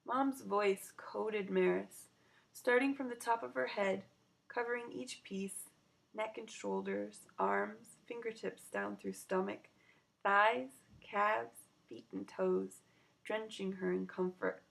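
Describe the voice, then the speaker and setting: A woman narrating a children's story aloud in an expressive storytelling style. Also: mid-range voice, slow pace, creaky, rough recording.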